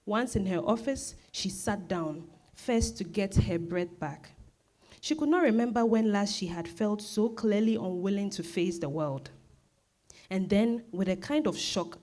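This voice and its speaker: A woman narrates a scripted, dramatized novel. Her voice sits at an average pitch and carries the story between the acted scenes. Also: mellow.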